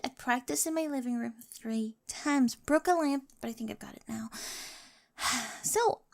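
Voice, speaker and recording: A woman's voice: high voice, gravelly. A woman playing an awkward, eager, nerdy teenage girl with a crush in an ASMR roleplay, chatty and rambling, often dropping toward a whisper.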